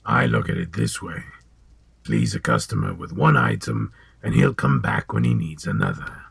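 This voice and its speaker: A man playing a sly, sinister old potion seller in an audio drama, with a gravelly, husky voice and a deliberate, insinuating manner. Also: low-pitched, huge pitch swings, a bit bright, heavily acted. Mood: salesmanlike.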